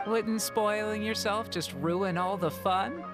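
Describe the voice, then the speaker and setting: A woman voicing a playful young theater worker with a teasing, animated manner. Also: medium pitch, slow, loud music behind the voice, natural voice. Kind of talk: game voice acting.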